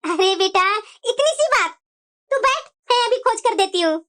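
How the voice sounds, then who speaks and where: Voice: very high-pitched, expressive, normal speed, a bit bright. A performer voicing a doting, scheming middle-aged mother-in-law in a dramatic family cartoon, drawing out the coddling and complaints. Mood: doting, coaxing. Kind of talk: Hindi family moral-story cartoon voice acting.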